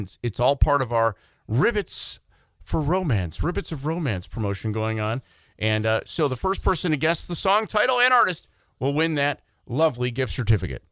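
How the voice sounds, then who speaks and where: A man's voice: fairly high pitch, a bit bright, dry recording. A man hosting a morning radio show with upbeat, playful banter into a close studio microphone. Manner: playful, joking.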